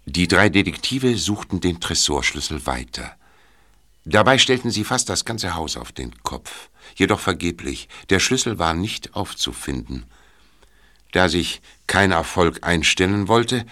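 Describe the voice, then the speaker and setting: A man's voice: low-pitched, lively intonation, moderate pace, bright, forceful tone. A man reading scripted narration for a mystery radio play.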